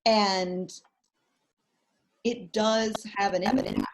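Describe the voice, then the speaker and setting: A woman's voice: mid-range voice, extremely slow, rough recording. A woman therapist leading an online webinar over a video call, telling stories and talking in a chatty way with plenty of fillers. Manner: chatty, animated storytelling with lots of fillers. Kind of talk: webinar talk.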